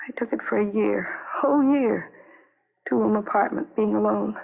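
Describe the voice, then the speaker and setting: Anguished lonely wife on tinny old radio. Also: female voice, medium pitch, gravelly. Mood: desolate, pleading. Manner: halting, repetitive, fragmented sentences. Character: anguished lonely young wife confessing to police. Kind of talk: 1950s radio drama acting. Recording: tinny vintage radio broadcast recording.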